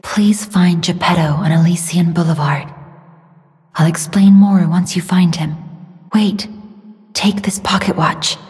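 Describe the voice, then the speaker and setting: A woman plays a mysterious young female guide from a video game, gentle and sorrowful. Her voice is soft and breathy, slightly low, and very slow and earnest. It hangs in long reverb. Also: steady tone, lightly acted. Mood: gentle urgency. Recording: long reverb, faint music behind.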